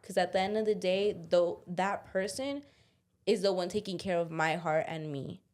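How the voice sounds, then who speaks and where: A woman's voice: lowish voice, steady tone, moderate pace, darkish tone. Young woman, podcast co-host; casual slangy chat, confessional, quotes her own inner voice.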